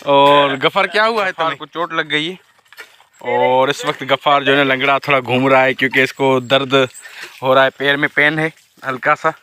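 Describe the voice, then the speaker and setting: A man's voice: mid-range voice, steady tone, moderate pace, pushed and bright. A man in his thirties from Pakistan vlogging casually outdoors in a village, walking with a phone held at arm's length and teasing his friends. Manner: chatty, walks and points out surroundings, teases friends. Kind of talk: casual vlog narration. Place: outdoors in a village among fields. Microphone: phone held at arm's length, selfie framing.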